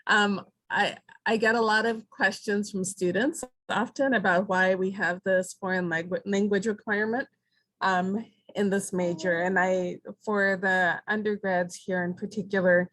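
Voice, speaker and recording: A woman's voice: mid-range voice, steady tone, unhurried, fairly bright tone, dry recording. A woman faculty member asking a panel a question over a video call, hesitant and apologetic as she searches for words. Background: fan noise.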